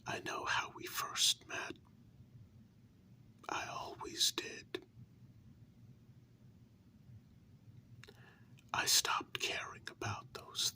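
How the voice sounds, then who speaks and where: A man voicing a hurt human lover confronting a vampire partner in a whispered ASMR role-play, with earnest, deliberate, drawn-out words. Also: pushed and bright. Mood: hurt, resigned. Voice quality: breathy unvoiced whisper.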